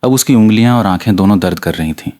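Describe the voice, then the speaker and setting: A man's voice: fairly low pitch, fast, mellow. Man narrating a scripted story. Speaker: audiobook narrator.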